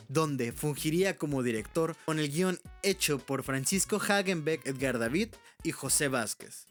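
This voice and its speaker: A man narrates an informal video essay in a fairly high voice. The delivery is scripted but candid, openly admitting gaps, with faint music playing behind. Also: fairly bright tone. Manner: candid, openly unsure of facts.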